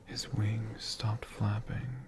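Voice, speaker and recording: A storyteller whispering a tale for ASMR in a hushed, breathy voice with slow, dramatic pauses. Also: mid-range voice, little pitch movement, very noisy. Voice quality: breathy whisper with creaky edges.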